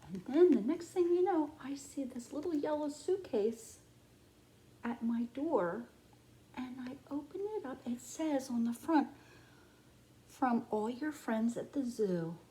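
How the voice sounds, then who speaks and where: Lady doing storytime for little kids, kinda high voice, super animated, teacher-y and full of wonder. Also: unhurried, low-quality recording. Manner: expressive, exaggerated wonder for small children. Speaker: preschool teacher reading to class. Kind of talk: children's picture book read-aloud.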